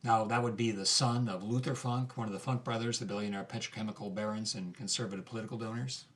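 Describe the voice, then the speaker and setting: A man's voice: fairly low pitch, steady tone, fast talker. A man hosting an audio documentary, interviewing guests as a reporter. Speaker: host, reporter.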